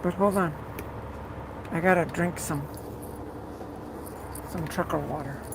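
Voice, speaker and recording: A live streamer with a rough, gravelly voice, chatting casually and rambling while reading viewers' comments aloud and repeating words. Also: medium pitch, steady tone, takes it slowly, very noisy.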